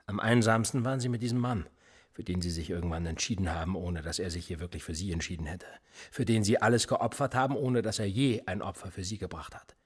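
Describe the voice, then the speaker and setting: An actor playing a sardonic, probing police interrogator in a naturalistic radio drama, speaking in a deliberate, controlled way. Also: male voice, low-pitched, husky. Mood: cold, menacing. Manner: deliberate, probing, dryly ironic.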